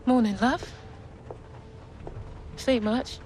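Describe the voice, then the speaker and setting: A woman's voice: on the high side, fairly even intonation, drawn-out words. An actress playing a caring but firm middle-aged mother in a video game cutscene. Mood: tender.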